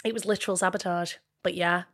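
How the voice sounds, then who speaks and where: A woman in casual, animated chat, telling jokey, sweary anecdotes and mimicking the people in them. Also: lowish voice, fast talker, slight background noise. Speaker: podcast host; pantomime performer.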